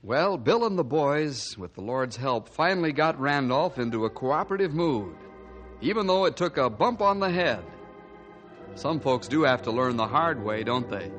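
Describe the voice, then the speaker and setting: A man's voice: medium pitch, expressive, moderate pace, a bit bright, lightly acted. A man with an American accent narrating a 1950s radio drama in a folksy, moralizing way. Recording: music behind the voice.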